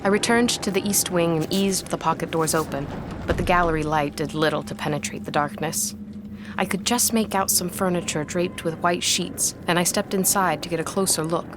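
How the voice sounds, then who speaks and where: An actress playing an uneasy woman of a gothic household, speaking formally and with measured suspense in an audio drama, over music. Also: on the low side, background noise, lightly acted. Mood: hushed dread. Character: haunted gothic household woman recounting a ghostly secret, uneasy. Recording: dramatic music bed behind voice.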